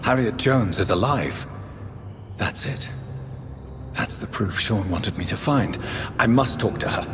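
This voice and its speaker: An actor with a British accent playing an earnest gentleman doctor turned vampire, formal and probing, in a video game. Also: on the low side, measured pace, long pauses, background noise, lightly acted. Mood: urgent, troubled. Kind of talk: dramatic video game acting. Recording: long hall reverb, loud music behind.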